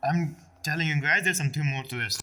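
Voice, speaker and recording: Bright, blunt male gamer reacting. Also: on the high side, fast talker. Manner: blunt, swearing. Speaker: gameplay streamer. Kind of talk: casual gameplay reaction commentary.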